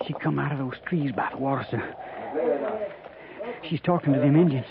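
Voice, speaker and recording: An actor playing a young, loyal, anxious frontier soldier with a rural American accent, deferential and folksy, in a radio western. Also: male voice, medium pitch, moderate pace, vocal fry, over a noisy crowd, natural voice. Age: young adult. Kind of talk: 1950s radio western acting. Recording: very noisy, tinny 1950s radio recording.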